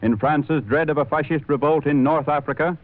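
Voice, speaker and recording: A male announcer delivering a 1930s radio advertisement in a rhythmic, building sales pitch. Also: a little fast. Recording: tinny 1930s radio recording.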